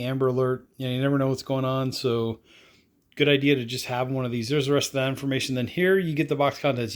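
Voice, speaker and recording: A man doing a casual product unboxing review, talking in a rambling, offhand way full of fillers. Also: mid-range voice.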